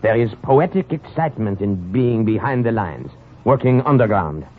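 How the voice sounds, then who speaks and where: A man acting a suave, sly poet spy in a 1950s radio drama, smooth and teasing with hesitant pauses. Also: on the low side, animated. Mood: gallant, teasing. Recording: scratchy, muffled 1950 radio recording.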